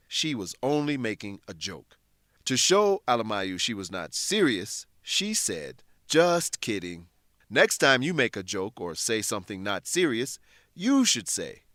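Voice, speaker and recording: Lively male English-lesson narrator. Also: mid-range voice, no room sound, studio quality. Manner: instructional, deliberate phrasing. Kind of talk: scripted educational narration.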